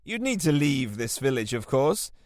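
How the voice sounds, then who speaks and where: A man's voice: big pitch swings, moderate pace, no echo, studio quality, lightly acted. A man playing a pushy, salesy businessman. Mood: eager. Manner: salesy, pitching. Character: eager pushy male business consultant.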